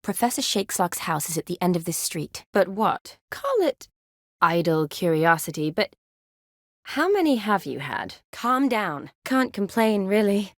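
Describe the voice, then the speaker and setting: Spirited young medic, dry close sound. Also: female voice, medium pitch, big pitch swings, measured pace, dry recording. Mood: earnest. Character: kind, spirited young woman medic, teasing and wistful. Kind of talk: video game dialogue acting.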